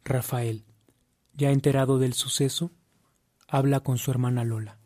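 A man's voice: medium pitch, fairly even intonation, moderate pace, mellow, dry recording, close to an everyday voice. A man acting as the solemn narrator of a religious radio drama. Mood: storytelling.

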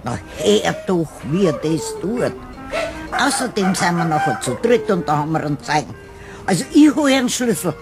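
High-pitched, insistent Bavarian busybody. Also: male voice, very animated, normal speed. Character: worried, insistent nosy caretaker, a busybody. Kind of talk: German radio play. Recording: music playing behind the voice.

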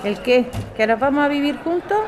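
Woman playing a high-voiced, resolute older mother. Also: lively intonation, normal speed, a little hiss or hum. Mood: surprised. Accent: Spanish from Spain. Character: warm, resolute mother starting life with a companion. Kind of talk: radio serial acting. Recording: crowd chatter behind the voice.

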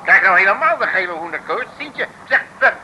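An actor playing a cheeky, grumbling working-class Dutch detective in an old comic radio serial, blustering in slang. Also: male voice, high-pitched, very animated, brisk, sharp tone, exaggerated voice, rough recording. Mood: alarmed, indignant. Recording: old radio recording with faint noise.

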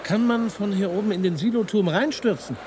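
An actor playing a radio journalist who persistently presses for answers in a radio play. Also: male voice, high-pitched, a little fast, close to an everyday voice. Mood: uneasy, probing. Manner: persistent, repeating his questions. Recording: noisy urban outdoor background with vehicles.

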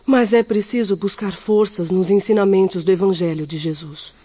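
A dull, lo-fi radio play features a compassionate, firm middle-aged medium, played by a woman. Her mellow, dark voice consoles with earnest, preachy conviction. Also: mid-range voice, normal speed, breathy edge, lightly acted. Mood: consoling, firm. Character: compassionate, firm female spirit medium consoling a bereaved man. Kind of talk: radio drama acting. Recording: muffled, lo-fi radio play audio.

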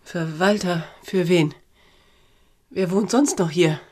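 An actress playing a proud, bitter countess held prisoner, defiant and scornful in a radio play. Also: female voice, lowish voice, slow, a little mellow. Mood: restless.

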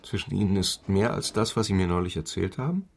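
An actor playing a pensive, quietly insistent middle-aged police commissioner in a German radio crime drama. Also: male voice, sits low, a little fast, vocal fry. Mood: serious, insistent.

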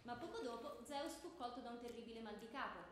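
A woman's voice: fairly high pitch, fast talker, a loud crowd, lightly acted. A woman playing a stern theatre teacher in a radio stage comedy, declaring and grading like a schoolmistress. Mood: stern, instructive. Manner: schoolmistress-like, declarative, handing out marks. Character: stern theatre teacher grading her pupils while narrating myth. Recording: very echoey room, clear background noise.

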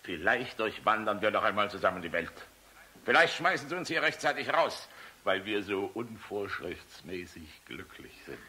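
An actor playing a war-weary general in a German radio play, tenderly in love, wry and fatalistic, with a very deep, gravelly voice. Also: male voice, big pitch swings, moderate pace, pushed and bright. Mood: tender, elated. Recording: hissy old radio recording.

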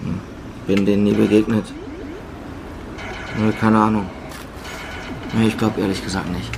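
A man's voice: lowish voice, level tone, measured pace, creaky. A man playing an unsure, laconic computer user in casual radio-play dialogue. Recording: noisy mechanical ambience behind.

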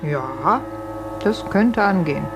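1950s radio play: soothing older confidante, lowish female register, sensible and motherly, prominent music. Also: expressive, slow pace, lo-fi sound.

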